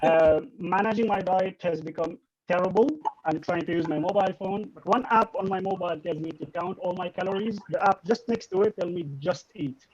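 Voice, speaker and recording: A man's voice: high-pitched, a little fast, hardly any pauses, dull audio. A man with a Syrian Arabic accent, an Arabic speaker, doing a stand-up set from his bedroom with dry one-liners. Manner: dry one-liners delivered with light laughs. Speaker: stand-up comedian. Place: bedroom at home.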